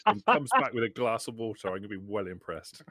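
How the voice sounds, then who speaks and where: Low-voiced man narrating a tabletop game. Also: sing-song, quick pace, pushed and bright. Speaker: game master.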